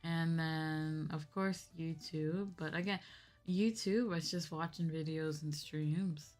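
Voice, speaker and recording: A woman's voice: sits low, takes it slowly, a little mellow, soft background music. A young woman streamer chatting casually and informally with her viewers on a livestream. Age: young adult.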